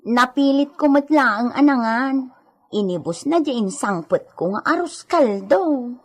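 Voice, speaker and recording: Woman doing kind young ilocano helper in a radio drama, highish husky voice, fussing and chatty. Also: lively intonation, measured pace. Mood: concerned. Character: Edita, kind, eager young woman tending a sick lady.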